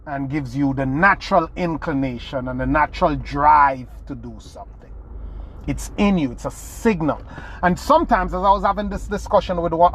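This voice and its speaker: Slow, earnest man. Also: a little high, a little hiss or hum, rough recording. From Jamaica. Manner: earnest, restarts phrases, stresses key words with sudden rises. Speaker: podcast host. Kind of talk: motivational monologue.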